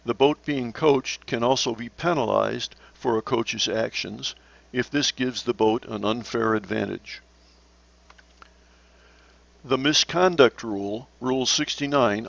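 A man narrating scripted slides as an instructor, in a measured, explanatory manner. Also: medium pitch, vocal fry, fairly bright tone, highs cut off. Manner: measured, instructional, poses questions to the learner. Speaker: sailing instructor.

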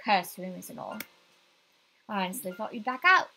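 A woman voicing a curious young female friend in a visual novel game, questioning and gently teasing. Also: mid-range voice, animated, moderate pace, vocal fry, slight background noise, voice pushed down, low-quality recording.

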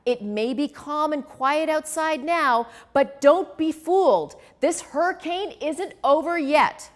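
A woman's voice: high voice, takes it slowly, pitched above the performer's own voice. A woman voicing an earnest, eager young male frog weather forecaster in a storybook, announcing like a newscaster. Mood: earnest, warning. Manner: bright-eyed newscaster announcing.

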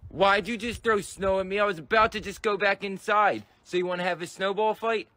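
A man's voice: high-pitched, steady tone, fairly quick, sharp tone. A man doing a lazy, sarcastic big brother. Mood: mocking lazy. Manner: mocking, lazy drawl.

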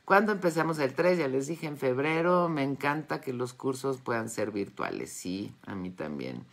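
A woman's voice: extremely low pitch, lively intonation, normal speed, creaky. A woman in her fifties with a Mexican accent, chatting casually and effusively with followers in a live session. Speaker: wellness and metaphysics teacher hosting a live Q&A. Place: indoors, home study with bookshelves. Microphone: phone or tablet at arm's length.

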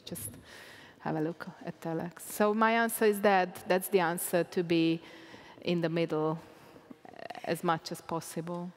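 A woman, answering audience questions on a panel, often searching for English words and restarting. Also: fairly low pitch. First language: Hungarian. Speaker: panel guest, journalist. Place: stage of a large hall. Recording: long hall reverb.

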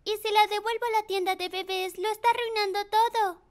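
Very high-pitched little cartoon girl. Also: steady tone, a little fast. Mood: hurt, sulky. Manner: cute, earnest, pleading. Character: little preschool girl, sweet, eager, easily hurt. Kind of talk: animated children's series dub.

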